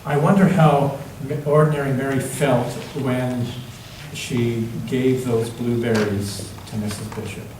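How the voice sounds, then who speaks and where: A man reading a children's story aloud to all ages, expressive and dramatic, savoring the rhymes with emphatic pauses. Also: medium pitch. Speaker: storyteller reading a picture book at a church. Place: large indoor church space, heard from a distance. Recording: echoey church hall, distant mic, faint noise.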